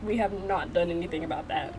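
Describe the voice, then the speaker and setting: A woman's voice: lowish voice, fast talker, darkish tone, a little hiss or hum, rough recording. A woman giving casual gameplay reaction commentary as a let's play host. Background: background music.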